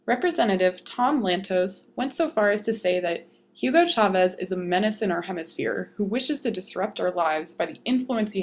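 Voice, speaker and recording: A woman's voice: fairly low pitch, slight background noise. A woman reading scripted informational narration as a narrator.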